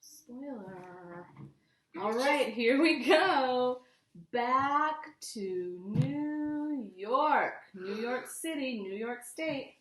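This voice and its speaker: A woman's voice: high-pitched, drawn-out words, slight background noise. A woman with an American accent reads a picture book aloud expressively to young listeners, with casual asides. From United States. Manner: animated, addressing young listeners. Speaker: children's story reader. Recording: echoey room.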